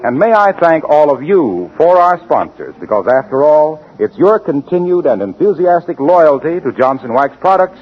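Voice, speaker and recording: A man's voice: fairly high pitch, sing-song, moderate pace, hardly any pauses. A man announcing a scripted 1940s radio show with polished, formal salesmanship. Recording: muffled 1940s radio broadcast.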